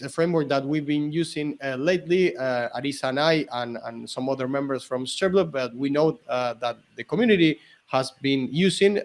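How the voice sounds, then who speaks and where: A man co-hosting a casual developer livestream, explaining in a halting way with frequent restarts. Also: on the high side. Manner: halting, restarts and repeats words often. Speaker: developer relations engineer, co-host.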